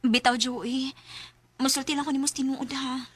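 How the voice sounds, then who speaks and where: A frank young woman in a radio drama, voiced by a woman. She speaks high and plainly, without softening her words. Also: level tone, moderate pace, slightly rough, no room sound. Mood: blunt. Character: blunt young woman, the lead's sweetheart.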